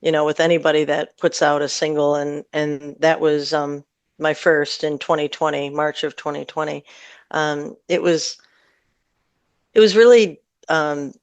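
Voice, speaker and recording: A woman's voice: low-pitched, steady tone, measured pace, smooth, dry recording. A woman with an American Midwest accent talks in a casual interview as a songwriter guest. She is reflective and lets her sentences wander as she thinks. The sound is dry, with very little room in it. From northern Michigan, USA. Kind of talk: casual radio interview chat.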